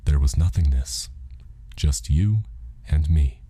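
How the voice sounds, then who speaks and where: Very deep, dark-voiced male audiobook narrator. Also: slow, breathy edge.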